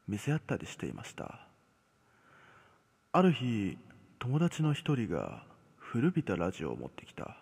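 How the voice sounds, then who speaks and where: Husky man narrating ghost stories, heavy reverb. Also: medium pitch, darkish tone. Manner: measured, dramatic pauses. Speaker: horror storyteller. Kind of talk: scripted ghost-story narration. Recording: long cavernous reverb.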